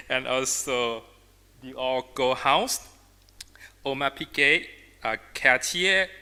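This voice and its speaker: A man from Thailand with a Thai accent, a native Thai speaker, giving a symposium talk as an artist and designer in halting, emphatic English phrases. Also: mid-range voice, expressive, bright, forceful tone, clean tone. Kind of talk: public presentation in non-native English. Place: large indoor symposium hall. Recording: reverberant hall, amplified talk.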